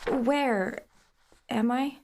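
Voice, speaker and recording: An actress playing a shaken, evasive woman under questioning in an audio drama, speaking in halting, short answers. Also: on the high side. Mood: confused, anxious. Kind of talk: naturalistic audio drama acting. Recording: clear background noise.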